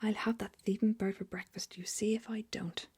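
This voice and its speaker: A woman's voice: mid-range voice, fairly even intonation, moderate pace, pitched above the performer's own voice. A woman whispering as a blustering old duke. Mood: furious. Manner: shouting in a hoarse whisper. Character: blustering rich old male duke, hot-tempered. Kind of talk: whispered storybook reading.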